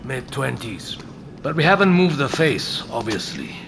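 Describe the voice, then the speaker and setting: An actor playing a brusque, mysterious senior detective in an audio drama, with a commanding, cryptic and clipped way of talking. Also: male voice, mid-range voice, sing-song, unhurried, vocal fry, noisy background. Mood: brisk, commanding. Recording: music behind the dialogue.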